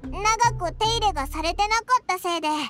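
A woman voicing a small, curious, chatty fairy companion with a squeaky childlike voice in a Japanese anime game. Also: a little fast, over music. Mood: explaining. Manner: blunt, boyish casual phrasing.

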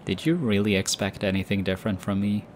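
A man playing a low, hushed, teasing boyfriend. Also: fairly quick, natural voice. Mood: tender, teasing. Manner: soft, hushed, teasing with light laughs. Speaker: voice actor. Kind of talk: audio roleplay. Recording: steady ambient background noise. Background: busy airport ambience.

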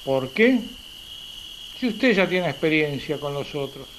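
A man acts an impatient, complaining traveller in a radio drama. The voice is high for a man, curt and exasperated, circling back on his own words. Night crickets and a faint hiss lie underneath. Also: animated, a little slow, a bit bright. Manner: curt, exasperated, repeats himself. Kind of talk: amateur radio play acting. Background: crickets, night ambience.

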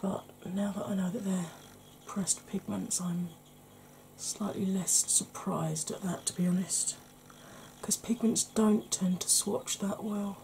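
A middle-aged woman with a Welsh accent giving an ASMR makeup tutorial at home, talking softly and often in a whisper, chatty with a dry sarcastic streak. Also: medium pitch, fairly even intonation, slow pace, creaky, a little hiss or hum, low-quality recording. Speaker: beauty YouTuber. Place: indoors at home, face in close-up.

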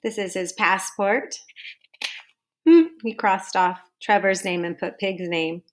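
A woman reading a children's storybook aloud to students like a teacher, in a deliberate, sing-song manner with drawn-out phrase endings. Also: mid-range voice, steady tone. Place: home kitchen, indoors.

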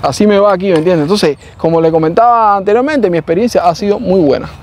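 A man with a Cuban accent, a software developer hosting a casual vlog, who chats loosely and keeps asking if you follow him. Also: high voice, fast talker. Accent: Cuban Spanish. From Cuba. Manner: chatty, often adds "¿me entiendes?". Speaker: software developer and channel host.

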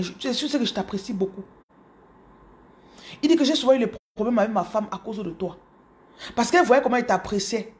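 A woman in her thirties from Cameroon with a Cameroonian French accent, telling her story to her followers in an animated, casual way, speaking close to a phone in a small room. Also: fairly high pitch. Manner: animated, repeats words, addresses viewers directly. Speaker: business coach. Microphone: phone camera held close.

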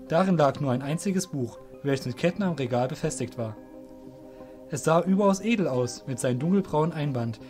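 A man's voice: on the high side, darkish tone. A man narrating a scripted fantasy story as the narrator of an audio drama.

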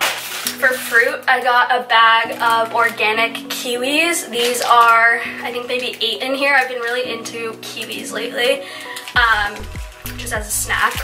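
A woman's voice: a little high, recorded from a distance. A young woman in her twenties, sounding stuffy from a recent illness, chatting casually through a grocery haul with lots of "like" fillers. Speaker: lifestyle vlogger. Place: home room, indoors. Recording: roomy, off-mic, with music. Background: background music.

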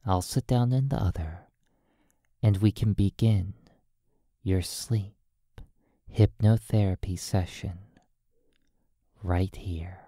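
A low-pitched, mellow voice plays a hypnotist in an ASMR sleep session. The delivery is extremely slow and hushed, with soothing, drawn-out phrases and long pauses. The sound is close and dry. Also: dry recording. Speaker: hypnotist playing a sleep therapist.

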